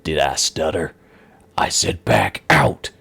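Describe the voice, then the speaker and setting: A man voicing a gruff, menacing kidnapper who threatens in a slow, hissing half-whisper. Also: very deep voice, pushed and bright, pitched below the performer's own voice, full character voice. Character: gruff menacing male kidnapper, knife-wielding thug. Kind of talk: audiobook reading.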